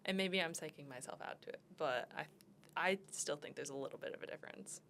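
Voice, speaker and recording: Low-pitched young woman, casual podcast chat. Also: fairly quick, fairly bright tone, a little hiss or hum. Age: young adult. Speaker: podcast co-host.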